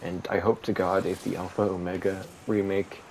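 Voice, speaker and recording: A man giving rambling, monotone commentary while playing a video game, with many fillers. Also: sits low, moderate pace, poor audio. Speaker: gaming video creator. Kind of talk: casual gameplay commentary. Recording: steady background noise.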